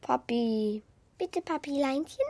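An actor playing a lively, naive and cheeky little wooden puppet boy in a children's radio play, with a childlike, questioning way of talking. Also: high-pitched, slow, creaky, a little hiss or hum, heavily acted. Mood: pleading, curious.

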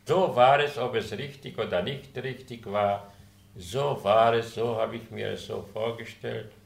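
Gravelly older man with a Czech accent. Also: fairly low pitch, steady tone. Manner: halting, searching for words, frequent hesitations. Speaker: interviewee. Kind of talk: conversational interview. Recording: roomy room sound.

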